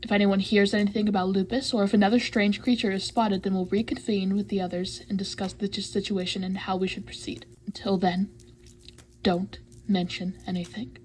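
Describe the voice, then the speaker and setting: A woman playing a level-headed female leader in an audio roleplay, speaking in a measured, firm way with a husky, breathy voice. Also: medium pitch, level tone, darkish tone, a little hiss or hum, close to an everyday voice, rough recording. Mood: calm, firm.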